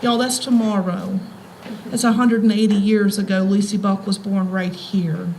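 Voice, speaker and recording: A woman's voice: medium pitch, fairly even intonation, normal speed, darkish tone. An American woman from Virginia giving an informal public talk and reading aloud, addressing listeners directly in a folksy way. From Front Royal, Virginia, USA. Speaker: schoolteacher, heritage society president giving a reading. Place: live talk at a historic house tour. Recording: faintly noisy live event recording.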